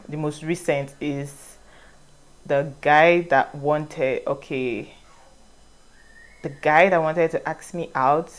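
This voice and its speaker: A young Nigerian woman talks casually to her vlog audience, with a low, deep voice for a woman. She reads each question aloud and then answers in a relaxed, wandering way. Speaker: vlogger.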